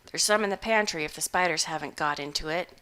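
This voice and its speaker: A woman reading aloud voices a tall, gaunt, grizzled old farmer man who talks in a slow, mournful country drawl with rustic grammar. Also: low-pitched, pushed and bright. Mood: placid.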